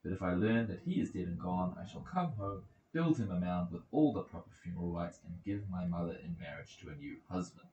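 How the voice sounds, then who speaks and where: A man's voice: low-pitched, expressive, fairly quick, few pauses, dark tone, husky, dull audio. A man voicing a troubled young prince in an epic audiobook, speaking with solemn, formal earnestness. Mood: resolute. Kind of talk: dramatic audiobook character reading. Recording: roomy echoing home recording.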